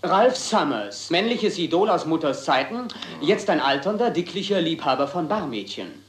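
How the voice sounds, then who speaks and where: An actor playing a sarcastic, taunting young stage performer in a German radio crime drama, theatrical in manner. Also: male voice, fairly high pitch, sing-song, normal speed, reverberant. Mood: mocking. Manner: theatrical, needling.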